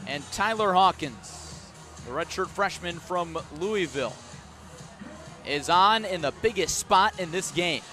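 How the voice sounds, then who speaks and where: American guy doing baseball radio play by play, pretty high voice, dramatic clipped calls, bit of music in the background. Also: a bit bright. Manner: dramatic narration in short clipped phrases. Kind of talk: live radio sports commentary.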